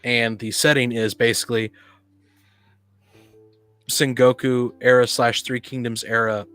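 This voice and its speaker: A man with a medium-pitched voice chats as a panelist on a podcast. His delivery is halting and rambling, circling back and restarting as he goes. Soft music plays behind him. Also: little pitch movement, clear, resonant tone. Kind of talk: casual panel discussion.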